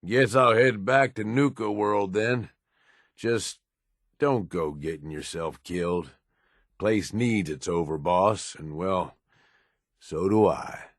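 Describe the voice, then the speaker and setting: Video game raider henchman: hard-bitten, cynical, blunt. Low, very animated delivery, recorded close and dry. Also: dry recording, full character voice. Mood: matter-of-fact. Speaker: game companion character.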